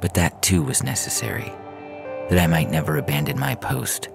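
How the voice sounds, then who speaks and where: A man reading a scripted science fiction story in the first person, in a measured, literary storytelling manner. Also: sits low, very animated, creaky. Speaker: fiction podcast narrator. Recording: music bed under the reading. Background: music.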